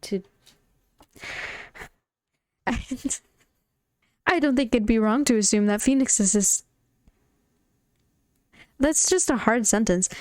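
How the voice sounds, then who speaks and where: A woman's voice: a little high, a little mellow, no echo, studio quality. Chatty Spanish-speaking woman streaming a game. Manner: chatty, stumbles over words and laughs it off. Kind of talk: casual game playthrough, reading dialogue aloud.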